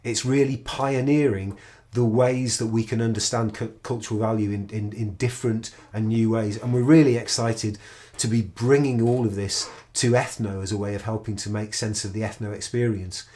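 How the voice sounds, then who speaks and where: A man's voice: on the low side, steady tone, normal speed, warm tone. Enthusiastic middle-aged male researcher. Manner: enthusiastic, explanatory, with frequent restarts. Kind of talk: recorded project presentation.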